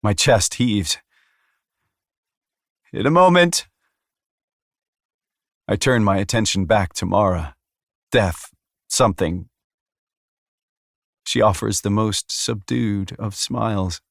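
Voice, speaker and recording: A man's voice: fairly low pitch, takes it slowly, vocal fry, pauses often, no echo, studio quality. A man reading scripted first-person fantasy fiction as an audiobook narrator.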